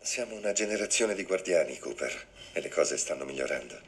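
An actor playing a condescending school official in dubbed film dialogue, measured and officious. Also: male voice, low voice, expressive. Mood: dry, patronising. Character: stern male school official in a dystopian film, blandly condescending.

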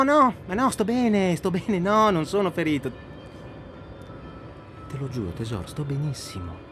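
An actor playing an elated, restless man on a phone call to his beloved in a radio drama, halting and repeating himself insistently. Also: mid-range voice, close to an everyday voice. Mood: insistent, tender. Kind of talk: naturalistic radio drama acting, one side of phone call.

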